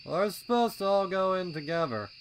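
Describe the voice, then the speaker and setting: A man's voice: high-pitched, lively intonation, normal speed, slight background noise, pitched above the performer's own voice. A man voicing a laid-back, teasing young colt pony with a drawling delivery in a story reading. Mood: matter-of-fact. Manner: drawling, taunting.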